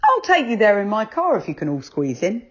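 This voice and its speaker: A woman doing a vain chatty rabbit driver, lively pitch, a bit husky, dull lo-fi storybook recording. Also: fairly quick. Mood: friendly. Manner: chatty, self-admiring. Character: vain, friendly male rabbit car driver.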